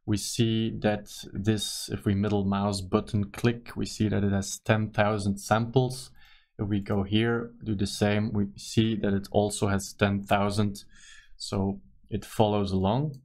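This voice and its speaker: A man with a Belgian accent, not a native English speaker, talking through a screen-recorded software tutorial with careful, hesitant explanations. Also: lowish voice. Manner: careful, hesitant explaining with many ums and uhs. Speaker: tutorial host.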